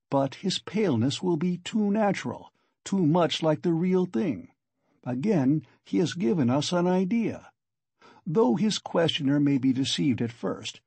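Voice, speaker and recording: A man's voice: fairly high pitch, dark tone, husky, no echo, pitched above the performer's own voice, heavily acted. A man voicing a sly, chatty investigator in an audiobook, wheedling and exclaiming with theatrical concern. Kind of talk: audiobook character acting.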